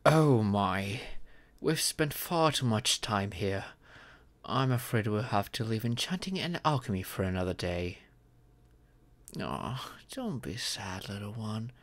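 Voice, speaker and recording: A man voicing a gentle, proud dragon father and former king in a comfort roleplay, speaking formally and tenderly. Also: on the low side, lively intonation, no room sound, full character voice. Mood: reassuring, fatherly. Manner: formal, tender, paternal speech with deliberate pauses. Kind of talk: scripted comfort ASMR roleplay.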